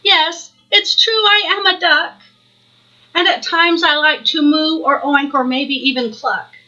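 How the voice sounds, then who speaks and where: A woman's voice: high voice, a little slow, bright, forceful tone, lo-fi sound. A woman voicing a silly, gleeful male puddle duck from a storybook, playfully honking and clucking.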